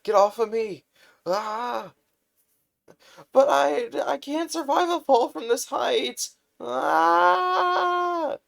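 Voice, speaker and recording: A man voicing an excitable, nervous teenage boy who idolizes a hero, stammering and flustered in a fan-told story. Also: medium pitch, slow, long pauses, pitched above the performer's own voice, close to an everyday voice. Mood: flustered, eager.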